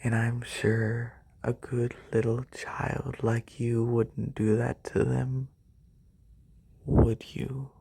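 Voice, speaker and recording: A performer voicing a haughty, wealthy lamia noble in a breathy, soft-spoken ASMR roleplay, condescending and unhurried, close on a binaural mic. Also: mid-range voice, little pitch movement, heavily acted. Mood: calm menace. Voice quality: breathy, whispery.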